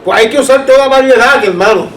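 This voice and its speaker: A man's voice: extremely high pitch, fast, a bit bright. A man with a Caribbean Spanish accent teaching an informal church Bible class, telling anecdotes conversationally and often checking that listeners follow. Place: church room. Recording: distant roomy mic, background noise.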